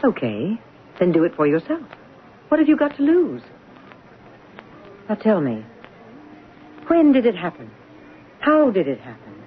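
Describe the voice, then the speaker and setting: An actor playing a brisk psychiatrist in an old-time radio drama, putting insistent questions to a patient. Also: medium pitch, wildly swooping pitch. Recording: tinny, thin, faintly noisy old radio recording.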